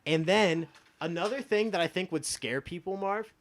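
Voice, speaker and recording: A man's voice: high-pitched, moderate pace, a bit bright, a little hiss or hum. A man in his twenties with an American accent, chatting casually about sports as a show guest, emphatic, opinionated and loose with swearing. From United States, born in Texas and from Iowa.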